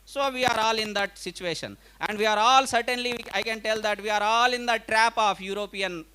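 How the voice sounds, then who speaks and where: High-voiced man lecturing. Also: fairly quick, bright, forceful tone, clean tone, low-quality recording. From India. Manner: emphatic, repetitive, conversational, with frequent check-in tags. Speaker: lecturer at an academic conference. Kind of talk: informal lecture with anecdotes. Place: live conference talk.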